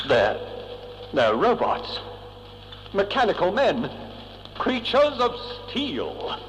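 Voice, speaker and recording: Casting: earnest captive astronaut, vintage radio drama. Swooping, theatrical delivery, long reverb on old tape. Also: male voice, sits high, slow, muffled recording, slight background noise, exaggerated voice. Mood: astonished. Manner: dramatic, questioning, expressive. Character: earnest, indignant male space explorer held captive. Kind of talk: vintage science-fiction radio drama acting.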